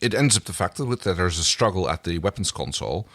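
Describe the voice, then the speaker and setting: Low-voiced man in a dry studio sound. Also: lively intonation, fast, a bit bright, no room sound. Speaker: podcast co-host. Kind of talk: casual episode recap chat.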